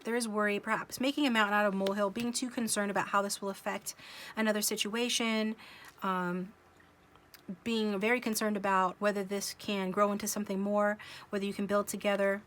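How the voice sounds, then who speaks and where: A woman with a cold giving a casual tarot reading, musing along slowly with many fillers and restarts. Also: medium pitch, level tone, a bit bright. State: coming down with a cold, sniffling. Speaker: tarot reader.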